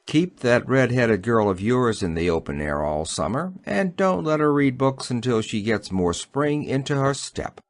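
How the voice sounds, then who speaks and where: A man's voice: medium pitch, very animated, measured pace, few pauses. A man playing a brusque country doctor giving emphatic orders in a dramatic reading of a classic novel. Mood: stern advice.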